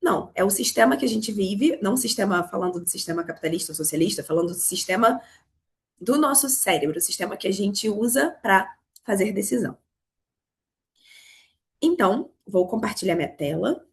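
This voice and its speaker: A Brazilian woman from Rio with a Carioca accent, hoarse from a cold, teaching a live online class on money, talking straight to her students. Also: mid-range voice, animated, brisk. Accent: Carioca Brazilian Portuguese. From Rio de Janeiro, Brazil. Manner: explanatory, addresses students directly. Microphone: video call.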